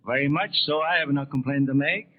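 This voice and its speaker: A man's voice: fairly high pitch, a little fast, slight background noise. A man answering as a guest in a 1930s radio interview, plain-spoken and brief. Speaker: barber being interviewed. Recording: tinny 1930s radio broadcast.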